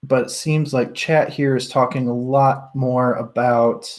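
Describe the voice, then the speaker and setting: Guy hosting a laid back book club stream, average pitch, explaining stuff and thinking out loud. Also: steady tone, measured pace. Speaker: book club host leading a discussion. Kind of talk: casual book discussion on a livestream.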